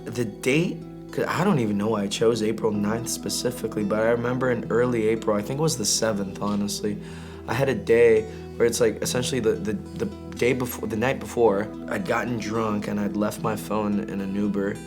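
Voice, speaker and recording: A man from Greece, an indie singer-songwriter, talking casually and candidly in an interview in an informal, halting, slangy way. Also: lowish voice, steady tone, music in the background.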